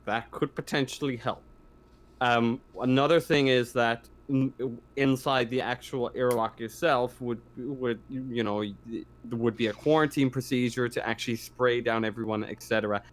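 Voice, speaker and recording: A man acting as game master, explaining a tabletop roleplaying game in a halting, stammering way. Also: mid-range voice, fairly even intonation.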